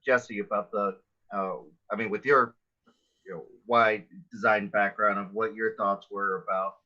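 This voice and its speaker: A male moderator with a fairly low but bright voice steers a casual panel. He is affirming and a bit rambling as he hands over. The recording is slightly dull and lo-fi. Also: level tone, unhurried. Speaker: host, moderator. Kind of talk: casual hosting.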